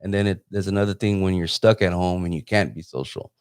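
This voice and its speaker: Man, deep-pitched, interview host, informal, rambling, dry sound. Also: fairly even intonation, quick pace, a little mellow, clean tone, no echo. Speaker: photographer. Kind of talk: casual live phone interview.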